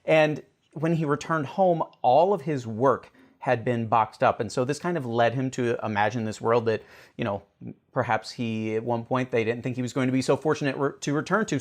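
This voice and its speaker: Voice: mid-range voice, a little mellow. A host with a conversational presenting style, introducing topics and putting questions to guests. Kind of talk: conversational show hosting, introducing and asking questions.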